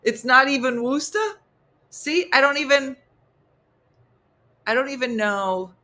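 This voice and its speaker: Bright, animated woman hosting a comedy show. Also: high voice. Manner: animated, exclaiming, quick asides. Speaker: morning show host. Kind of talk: casual comedic chat.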